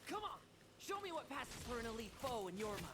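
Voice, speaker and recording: A brash, bold woman knight, very animated delivery, noisy game stream. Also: fairly high pitch, fairly quick. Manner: brash, mocking. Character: cocky young woman knight, blunt and bold. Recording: streamed game audio with noise.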